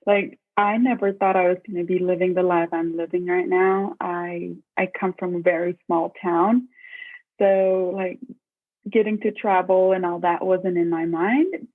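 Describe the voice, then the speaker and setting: A woman, chatting casually as a podcast guest, relaxed and rambling with frequent fillers. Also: fairly low pitch, unhurried. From Mexico. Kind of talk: casual interview chat. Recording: tinny phone-like remote call line.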